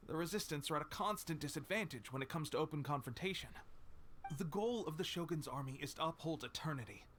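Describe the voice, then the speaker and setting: An actor playing an earnest, eager and humble young rebel soldier in a video game. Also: male voice, fairly high pitch, a bit bright, close to an everyday voice.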